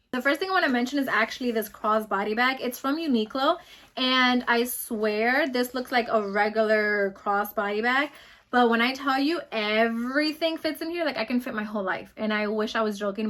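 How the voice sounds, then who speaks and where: Casting note: bubbly, fast-talking woman in her twenties, New York accent, slightly high voice. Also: fairly bright tone. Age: 20s. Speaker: beauty vlogger. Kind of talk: casual product review vlog.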